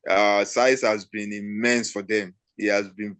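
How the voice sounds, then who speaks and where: Lowish male voice, dry sound, halting delivery, repeated phrases, casual football pundit. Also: level tone, fairly bright tone, dry recording. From Nigeria. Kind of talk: casual sports analysis.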